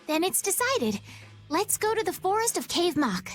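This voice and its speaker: Video game role: a gentle, well-mannered young heroine, high and lively voice, earnest delivery, music behind. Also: female voice, normal speed, full character voice. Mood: resolute. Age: young adult. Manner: polite, careful, softly earnest.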